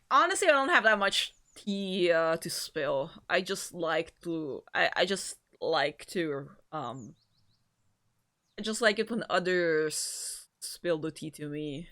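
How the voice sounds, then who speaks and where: A woman with a deep voice who streams, reading a visual novel aloud and chatting with her viewers in a giggly, rambling way. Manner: giggly and rambling in chat, even-paced when narrating. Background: faint game music.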